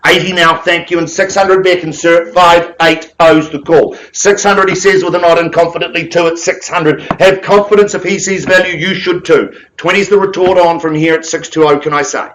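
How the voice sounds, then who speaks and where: Booming New Zealand male auctioneer. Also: fairly even intonation, fairly bright tone, slight background noise, rough recording. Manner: big booming voice, rapid rhythmic bid-calling with quips. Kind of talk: live property auction calling. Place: auction room with bidders present.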